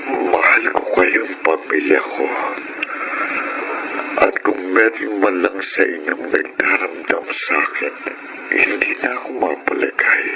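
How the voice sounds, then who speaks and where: A man's voice: sits low, takes it slowly, vocal fry, background noise, full character voice. A man playing a remorseful, tender father in a Filipino radio drama, speaking earnestly and pleadingly. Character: remorseful, tender father longing for family reconciliation. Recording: music bed under the dialogue.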